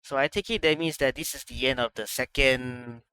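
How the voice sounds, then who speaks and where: A man's voice: medium pitch, normal speed, sharp tone, smooth, dry recording. A man streaming a game playthrough, casually commenting and reading on-screen text aloud. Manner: casual, reads dialogue and narration aloud. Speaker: gaming streamer.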